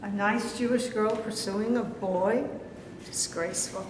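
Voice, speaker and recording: A woman's voice: mid-range voice, steady tone, unhurried, noisy background, natural voice. A woman reading the part of a righteous congregation woman in a staged play, preachy and reproachful. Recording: echoey hall from a distance.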